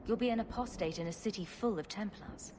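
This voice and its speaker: A woman's voice: medium pitch, brisk, noisy background, close to an everyday voice. A woman playing a young human heroine in a fantasy video game, speaking in a dry, wry and direct manner. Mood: questioning.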